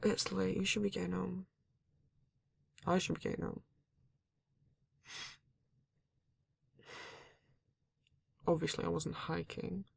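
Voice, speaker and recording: Someone playing a gravelly, grumpy werewolf. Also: medium pitch, level tone, dry recording. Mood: incredulous. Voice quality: husky, gravelly. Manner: exasperated, sighing, swearing, halting delivery. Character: grumpy, reluctant werewolf, guarded but not cruel. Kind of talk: ASMR roleplay audio drama, intimate monologue.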